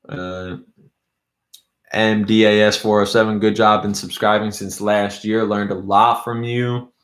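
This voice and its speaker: A man's voice: on the low side, fairly bright tone. Casual young man, roomy live stream. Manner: loose, rambling, reads chat aloud. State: losing his voice. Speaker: YouTube host.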